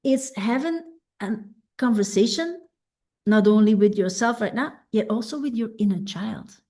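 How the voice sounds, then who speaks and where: A woman coach whose first language is Dutch, teaching a self-help masterclass in an unhurried, reflective way and often checking in with "right?". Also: fairly high pitch, lively intonation, warm tone.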